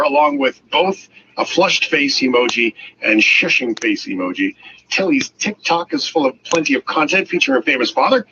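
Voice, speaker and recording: A man hosting a radio show, reading entertainment news with jokey, animated asides. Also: medium pitch. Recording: muffled lo-fi audio with clear noise.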